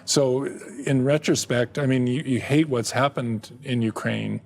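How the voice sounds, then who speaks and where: An older man being interviewed on a television studio set, talking in a halting way with many restarts, recorded on a lapel mic. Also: mid-range voice, gravelly, darkish tone. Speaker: interview guest, ministry director. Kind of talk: candid interview conversation.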